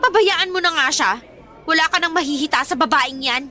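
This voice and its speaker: A woman's voice: high voice, brisk, sharp tone. A woman playing a rich, spiteful young female bully with a Filipino accent, haughty and combative in a radio drama. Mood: indignant.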